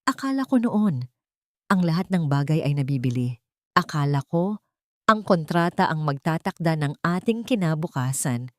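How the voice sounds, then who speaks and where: A woman's voice: low-pitched, very animated, moderate pace, no room sound, clean studio sound. A woman voicing a reflective, loving young wife with an earnest, sentimental manner in an audio drama. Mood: earnest, tender.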